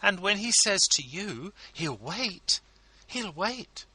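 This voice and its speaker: A man voicing a gushing, flattering scheming wife who pleads sweetly in a storybook reading. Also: sits high, slow, slightly rough, pitched above the performer's own voice, exaggerated voice, poor audio. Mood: gushing pleading.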